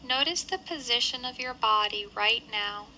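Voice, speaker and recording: A woman leading a guided body scan meditation with measured, gentle instructions and pauses between phrases. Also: fairly high pitch, a little hiss or hum, low-quality recording.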